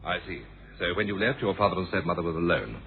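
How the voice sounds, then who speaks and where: An actor playing a courteous, quietly suspicious police inspector, questioning in a measured way in a radio mystery drama. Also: male voice, low voice, expressive, faint music behind. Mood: probing. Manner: measured, politely probing questioning. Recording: noisy, phone-like old radio broadcast recording.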